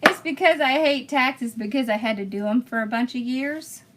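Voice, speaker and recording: A woman's voice: high-pitched, normal speed, very noisy. A woman hosting a craft livestream, chatting casually and answering viewer comments as she works, often laughing. She was born in Pennsylvania and raised in Georgia. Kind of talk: casual live-stream chat while crafting.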